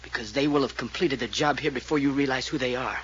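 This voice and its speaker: A man's voice: medium pitch, quick pace, a bit bright, a little hiss or hum, natural voice. An actor playing a surly, sardonic, brooding man who drinks hard, in the style of a 1940s radio serial. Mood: grim, foreboding. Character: bitter, surly, hard-drinking brother, fearful and cynical.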